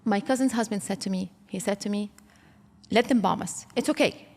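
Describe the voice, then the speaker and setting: Impassioned woman with a gravelly voice. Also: mid-range voice, a little fast, a little mellow. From Kuwait. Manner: impassioned, rising to emphatic outbursts. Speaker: interview guest. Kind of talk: emotional interview. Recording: long reverb hall.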